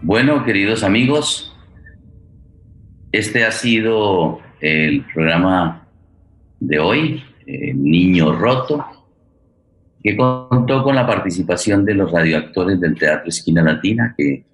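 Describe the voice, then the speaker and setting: A man with a Colombian accent hosting an unscripted interview, halting and repeating words as he searches for them. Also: sits low, animated, faint music behind, poor audio. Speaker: host of radio theatre forum.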